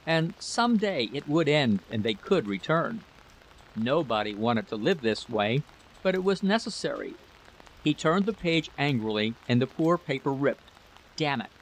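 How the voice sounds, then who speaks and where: A man's voice: mid-range voice, slight background noise, low-quality recording. A man narrating a scripted story as a literary reader.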